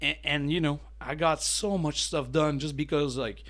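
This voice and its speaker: Chatty mellow-voiced man rambling about hobbies. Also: a little high, steady tone, normal speed. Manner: rambling, chatty, lots of fillers and restarts. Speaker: miniature painting channel host. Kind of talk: casual talking-head hobby tips.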